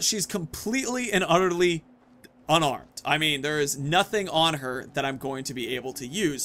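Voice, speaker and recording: Higher-voiced man narrating a video game. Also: sharp tone. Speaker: gaming video host. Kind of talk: casual Let's Play commentary with roleplay storytelling.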